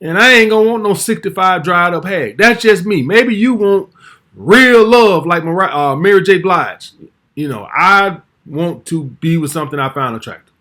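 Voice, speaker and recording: Brash high-voiced man in his forties. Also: animated, normal speed, sharp tone. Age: 40s. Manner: blunt, brash, swears freely. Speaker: commentary vlog host. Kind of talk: casual opinion commentary.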